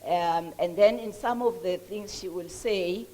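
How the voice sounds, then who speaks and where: A woman's voice: fairly low pitch, measured pace, mellow, low-quality recording. A South African woman with a Mpumalanga Swati accent, giving a chatty, self-deprecating launch speech with many restarts.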